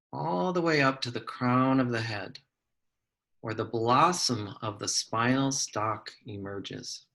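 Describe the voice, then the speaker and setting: A man guides a meditation at a very unhurried pace, in a fairly average-pitched voice. He gives soft, measured instructions and leaves long pauses between his phrases. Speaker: psychologist leading a guided meditation.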